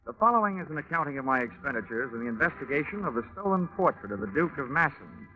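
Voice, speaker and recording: Male actor as wry American private investigator, medium pitch, sardonic radio-noir narration, dulled vintage recording. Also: fast, lightly acted. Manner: wry, deadpan wisecracking. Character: wry, hard-boiled American insurance investigator narrating his case. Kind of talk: 1940s radio detective drama narration. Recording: muffled vintage radio recording, music behind.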